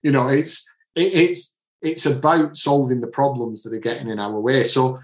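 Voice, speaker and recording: A man's voice: medium pitch, fairly even intonation, moderate pace. Mancunian man in an echoey room. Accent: Manchester English. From Manchester area, England. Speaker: author and leadership coach. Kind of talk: podcast interview answers.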